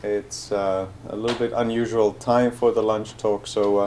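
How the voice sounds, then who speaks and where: A man hosting a lunch talk, introducing a guest speaker in a halting way with many fillers. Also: lowish voice, little pitch movement, a little mellow, a little hiss or hum. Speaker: event host.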